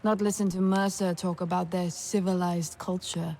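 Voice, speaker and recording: A woman's voice: fairly low pitch, little pitch movement, moderate pace, dark tone. An actress playing a reflective young alien woman in a video game, wistful and questioning. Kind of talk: video game cutscene acting.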